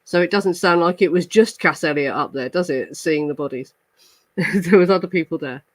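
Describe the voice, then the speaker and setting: A man's voice: fairly high pitch, moderate pace, no room sound. A man hosting a true crime show, chatting casually with many fillers and restarts, and now and then reading report passages aloud. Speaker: true crime podcast host. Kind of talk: casual chat reacting to live comments.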